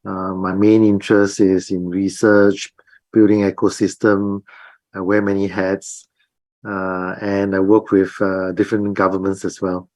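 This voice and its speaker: A man's voice: deep voice, level tone, measured pace, a little mellow, no echo. A man who is a university professor, chatting on an online panel. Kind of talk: casual panel chat.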